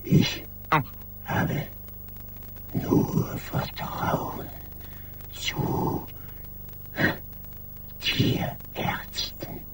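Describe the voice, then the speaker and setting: An actor in a radio play voicing a proud, irritable man turning into a beast, hoarse and rattling, with haughty, drawn-out delivery. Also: heavy background noise. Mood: stubborn, defiant. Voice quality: hoarse, breathy, rattling rasp. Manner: haughty, defensive, drawn-out snapping. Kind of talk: 1980s German radio play acting.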